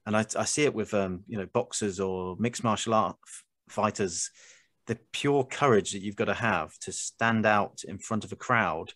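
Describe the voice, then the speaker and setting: A British man with a low voice hosts a casual podcast chat. He speaks earnestly, wandering and repeating words as he thinks, in a dry recording with no room sound. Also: moderate pace, a bit bright, dry recording. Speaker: podcast host. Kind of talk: casual conversational interview.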